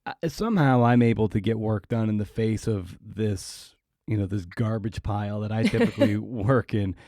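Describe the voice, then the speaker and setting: A man's voice: on the low side, moderate pace, mellow, dry recording, clean studio sound. A man hosting a podcast, asking questions in a casual, conversational way that rambles and circles toward the point. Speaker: podcast host, interviewer.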